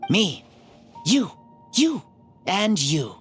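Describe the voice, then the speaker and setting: A woman's voice: mid-range voice, sing-song, extremely slow, soft background music. A woman voicing an ancient male warrior spirit in a boy's body for a video game, jovial and grandiose with a wry, theatrical delivery. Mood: grandiose, solemn. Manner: theatrical, wry, boastful storytelling. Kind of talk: video game character acting.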